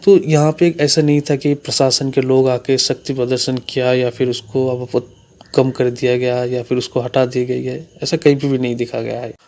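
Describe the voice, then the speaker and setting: Man giving news commentary, narrow pitch range. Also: flat delivery, moderate pace, darkish tone. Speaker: YouTube channel host.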